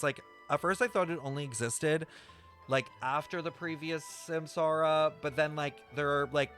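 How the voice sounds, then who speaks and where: Higher-voiced chatty young-sounding male streamer. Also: fairly bright tone. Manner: chatty, slangy, self-deprecating. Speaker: game streamer. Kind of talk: casual live stream chat reacting to a game.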